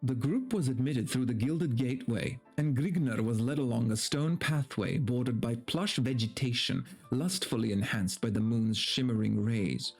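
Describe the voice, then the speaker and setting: A man's voice: medium pitch, normal speed, hardly any pauses, a little mellow, faint music behind. A man doing a dramatic story reading for a stream, mock-serious and breaking into incredulous, sweary commentary.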